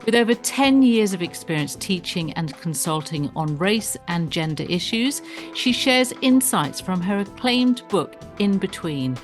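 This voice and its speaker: A woman's voice: medium pitch, animated, measured pace, faint music behind. A woman radio host introducing her guest and asking questions in a formal, welcoming way. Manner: warm formal introduction, brief backchannel replies.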